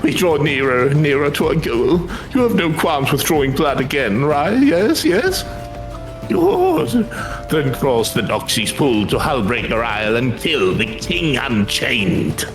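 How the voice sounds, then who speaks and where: A woman's voice: very deep voice, very animated, slow pace, music in the background, pitched below the performer's own voice, full character voice. A woman voicing a pompous, menacing male alchemist henchman in a fantasy game, with an abrupt, commanding, theatrical manner. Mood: gloating, obsessive. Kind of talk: acted reading of fantasy game dialogue.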